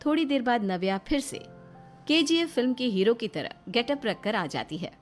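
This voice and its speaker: A woman's voice: fairly high pitch, expressive, normal speed. A woman reading scripted narration for a cartoon moral story. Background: faint music.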